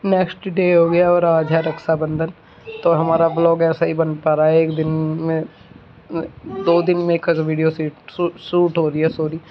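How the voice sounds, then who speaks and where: A woman's voice: low voice, little pitch movement, normal speed. A woman, chatting casually as a home vlogger. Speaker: village vlogger. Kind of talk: casual home vlog chat and narration. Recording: muffled phone audio with clear background noise.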